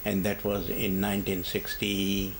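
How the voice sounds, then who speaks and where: An older retired man, born in India, answering oral history questions in a halting way with many pauses and fillers. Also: low voice, little pitch movement, a little hiss or hum. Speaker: interviewee.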